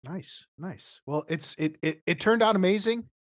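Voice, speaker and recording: A woman's voice: on the low side, moderate pace, no echo, studio quality. A woman hosting a podcast, commenting casually and informally, often breaking off and restarting her thoughts. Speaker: podcast host.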